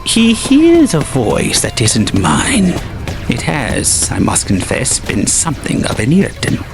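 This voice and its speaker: A man's voice: mid-range voice, wildly swooping pitch, unhurried, creaky, music in the background, voice pushed up, exaggerated voice. A man doing Malthus, a silky scheming villain. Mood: menacing. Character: sinister scheming male manipulator, smooth and theatrical.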